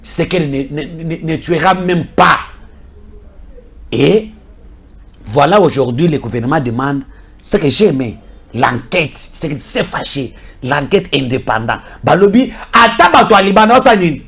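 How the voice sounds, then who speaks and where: A man's voice: on the high side, animated, normal speed, gravelly, fairly bright tone, slightly rough. A Congolese man in a heated political talk, speaking emphatically and insistently with many repetitions. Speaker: political commentator. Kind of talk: heated political talk mixing French and Lingala.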